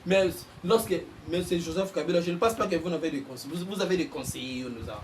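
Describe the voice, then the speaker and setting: A Congolese man, a journalist and political analyst, giving impassioned commentary and getting louder as he presses his points. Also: fairly high pitch, quick pace, distant mic, a little hiss or hum. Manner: heated, mixes French and Lingala, bursts louder on points.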